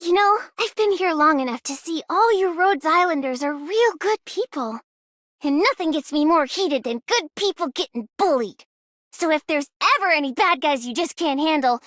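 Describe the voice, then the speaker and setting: A scrappy, loyal young female fixer in a video game. The voice is extremely high and swings animatedly. She talks in a cocky, folksy, slangy way, recorded very dry. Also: fairly bright tone, dry recording. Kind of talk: game character voice acting.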